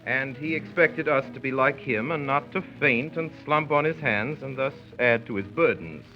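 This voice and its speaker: An actor playing a grown son narrating family memories, wry and measured, in 1930s radio style. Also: male voice, medium pitch, lightly acted. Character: grown son wryly recalling his domineering father. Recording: dull 1930s radio recording with music.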